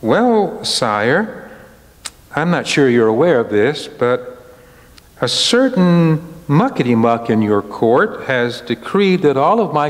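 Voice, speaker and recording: A resolute storybook queen, as a man acts her out, pleading politely. The words come slowly and deliberately, carried on long hall reverb. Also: medium pitch. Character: pleading, resolute young queen in a Bible tale.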